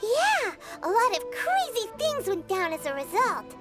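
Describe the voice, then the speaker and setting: Game fairy sidekick, tiny and squeaky, bouncing all over, over game music. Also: female voice, wildly swooping pitch, measured pace, heavily acted. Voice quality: high squeaky childlike voice. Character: tiny floating fairy companion, chatty and childlike. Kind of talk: video game voice acting.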